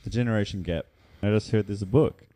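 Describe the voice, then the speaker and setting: A man's voice: fairly low pitch, quick pace, mellow, dry recording. A man hosting a podcast, asking brief, easygoing questions. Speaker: podcast host. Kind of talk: casual podcast interview.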